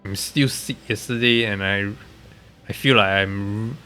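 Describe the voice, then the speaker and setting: Slow-talking nasal man, low voice, congested. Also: bright, forceful tone, soft background music. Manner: halting, hesitant, often trailing off. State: congested, recovering from being sick. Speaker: game streamer. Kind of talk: casual live stream commentary.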